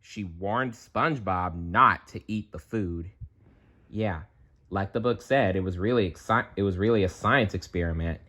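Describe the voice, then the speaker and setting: A man reading a children's storybook aloud in an expressive, playful way, with chatty asides. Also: low-pitched. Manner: animated, unhurried, playful.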